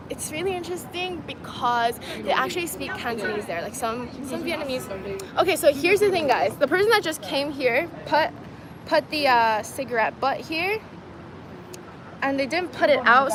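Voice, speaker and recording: A woman chatting casually on a livestream, reading viewer comments aloud and talking to her audience as "guys". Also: sits high. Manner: chatty, laughs easily, talks to viewers as "guys". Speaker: vlogger reading live chat. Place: outdoors in a city at dusk. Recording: outdoor stream with clear traffic noise. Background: street and traffic noise.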